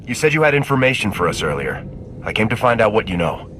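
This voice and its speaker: An actor playing a steady, dutiful military sergeant in a video game, speaking in a measured, firm, reassuring way. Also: male voice, on the low side, noisy background. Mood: calm, persuasive.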